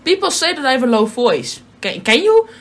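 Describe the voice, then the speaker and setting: Young female gaming co-host, Dutch accent, slightly high pitch, informal giggly banter in second-language English. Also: very animated, fairly quick. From Netherlands. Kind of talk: casual chat over gameplay.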